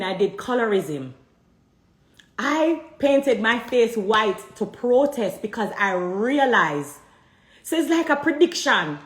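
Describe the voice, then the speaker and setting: Jamaican woman ranting to her followers, keeps throwing questions back at them, echoey room. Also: medium pitch. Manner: emphatic, repeats rhetorical questions to the audience. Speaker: vlogger addressing viewers. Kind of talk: direct-to-camera commentary.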